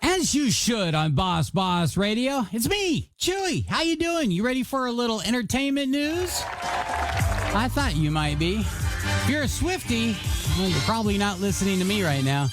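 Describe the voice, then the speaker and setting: A man's voice: sits high, very animated, moderate pace. A man hosting a music radio show as DJ, with a showy announcer's delivery and upbeat patter. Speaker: radio DJ and station host. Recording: music bed behind the voice.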